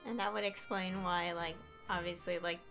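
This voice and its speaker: A woman's voice: lowish voice, steady tone, normal speed, fairly bright tone, soft background music. A woman streaming a game with casual commentary, thinking aloud hesitantly.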